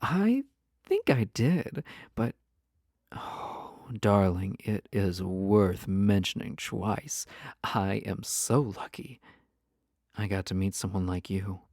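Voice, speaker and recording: A performer voicing a flirty, possessive yandere spouse in an intimate audio roleplay, teasing and coy, often dropping into breathy whispers. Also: sits low, expressive, takes it slowly, darkish tone, no echo, studio quality, exaggerated voice. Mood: adoring, flirty. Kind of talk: intimate roleplay audio drama, spoken to listener.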